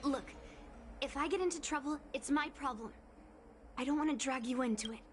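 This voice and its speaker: A woman's voice: sits high, a little fast, lightly acted. A woman voicing a headstrong young swordswoman in a video game, blunt, guarded and earnest. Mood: determined, brusque. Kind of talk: English video game voice acting. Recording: music behind the voice.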